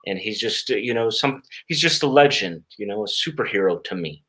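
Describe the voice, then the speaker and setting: A middle-aged man speaking conversationally as an interview guest, in a halting way with repeated words and frequent filler phrases. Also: on the low side, animated, sharp tone. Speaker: interview guest, stage magician.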